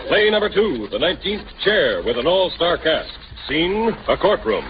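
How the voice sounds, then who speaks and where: Lively 1930s radio announcer man. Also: fairly high pitch, moderate pace, music in the background. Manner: declamatory. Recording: dull, faintly noisy 1930s radio recording.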